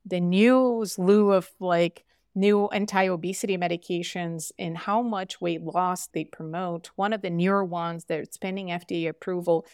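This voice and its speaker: A woman's voice: lowish voice, fairly even intonation, unhurried, hardly any pauses, darkish tone, clean tone, dry recording, studio quality. A middle-aged woman from Brazil, a physician guest speaking earnestly and emphatically in conversation, with frequent fillers. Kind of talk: conversational interview.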